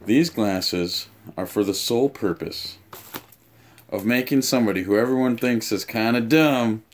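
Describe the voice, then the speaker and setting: A man in his thirties giving a casual, offhand unboxing and review, explaining things haltingly in a room at home. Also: medium pitch. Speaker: tool reviewer and vlogger. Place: small home room, indoors.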